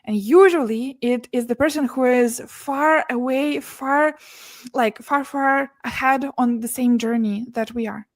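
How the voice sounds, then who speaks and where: Russian-born woman, bright and dry, earnest. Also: high voice, a little slow, no room sound. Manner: earnest, many restarts and laughs between words. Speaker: podcast guest, business and mindset mentor. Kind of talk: casual interview conversation.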